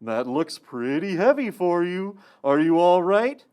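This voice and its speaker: Someone doing a kind caring woodland animal for a kids puppet show, gentle but real expressive. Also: mid-range voice, measured pace. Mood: friendly, concerned. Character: kindly, caring animal friend of the forest. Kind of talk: children's puppet show acting.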